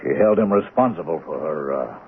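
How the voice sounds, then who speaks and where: A regretful, halting man with a very low voice. This is 1970s radio drama acting, heard on a thin, tinny recording with faint noise. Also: expressive. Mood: regretful, uneasy. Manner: hesitant, halting. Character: regretful, hesitant man giving testimony to police.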